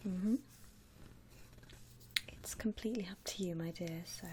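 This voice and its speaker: A woman softly whispering in an ASMR roleplay as a polite, reassuring shop assistant helping a customer. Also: lowish voice, unhurried, noisy background. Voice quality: soft, breathy whisper. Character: courteous, obliging female coffin saleswoman.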